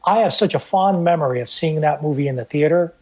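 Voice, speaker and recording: A man's voice: a little high, quick pace, darkish tone. A man in his fifties with a New York accent, a native Italian speaker, telling personal stories casually as a podcast guest. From the Bronx, New York, United States. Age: 50s. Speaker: guest co-host on a film podcast.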